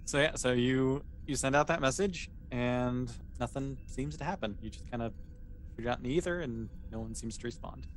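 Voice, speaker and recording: A man's voice: medium pitch, moderate pace, a little hiss or hum. A man running a tabletop game as game master, narrating in a casual, easygoing, improvised way.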